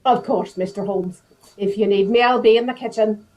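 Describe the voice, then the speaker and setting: A woman's voice: medium pitch, normal speed, warm tone, close to an everyday voice. A woman playing a polite, dutiful housekeeper in an audio drama. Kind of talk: radio-style audio drama acting.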